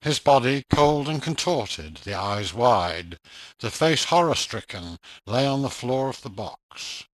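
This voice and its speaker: Male narrator for a ghost story, suspenseful and measured, expressive pitch, dry close sound. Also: no room sound, rough recording.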